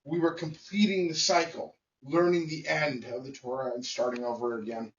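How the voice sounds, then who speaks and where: A man's voice: mid-range voice, level tone. Blunt, emphatic man, distant and dull. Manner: emphatic, blunt and colloquial, with pauses between short phrases. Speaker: vlogger. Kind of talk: personal opinion monologue to camera.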